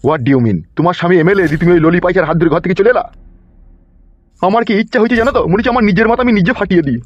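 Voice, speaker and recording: A man's voice: high-pitched, rapid-fire, no room sound. A man playing a pushy, lecherous landlord in a comic cartoon, taunting and crude as he fires off questions. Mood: indignant. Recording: dull lo-fi track with music.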